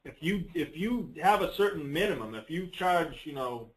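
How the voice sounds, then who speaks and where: A man giving a casual, unscripted software walkthrough on a conference call, rambling a little and thinking aloud as he presents. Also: on the high side, expressive, moderate pace, low-quality recording.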